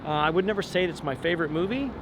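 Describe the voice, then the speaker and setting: A relaxed man in his forties with a fairly high speaking voice, thinking aloud with plenty of ums. He talks while walking outside, and clear traffic and street sounds are heard behind him. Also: fast talker. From Long Island, New York. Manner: relaxed, thoughtful, many ums and restarts. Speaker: opera tenor guest. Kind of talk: casual interview while walking. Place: outdoors on a city street. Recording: outdoor street noise.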